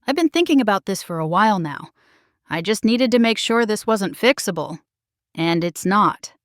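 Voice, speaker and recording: A woman voicing a calm, firm husband who is fed up, speaking in a steady, measured way. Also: mid-range voice, no room sound, studio quality, natural voice.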